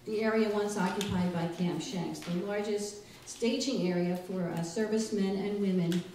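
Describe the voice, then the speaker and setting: A woman's voice: mid-range voice, normal speed, warm tone. A middle-aged woman hosting a live event with a wry, hesitant, joking delivery. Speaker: library director and show host. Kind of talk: live welcome speech to an audience. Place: small library room indoors with a live audience. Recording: very echoey room, distant mic, faint noise.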